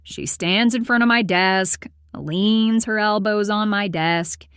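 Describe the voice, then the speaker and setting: A woman playing a prim, aloof accountant in her late twenties who narrates her own story in a deadpan, formal way. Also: mid-range voice, takes it slowly, clear, resonant tone, no echo, clean studio sound. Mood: matter-of-fact. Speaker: host and narrator of a character story series. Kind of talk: scripted first-person storytelling.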